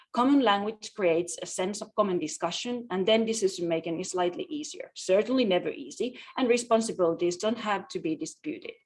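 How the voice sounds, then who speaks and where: Female presenter, mid-range voice, prepared talk delivered with some halting restarts. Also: medium pitch. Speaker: conference presenter, media executive.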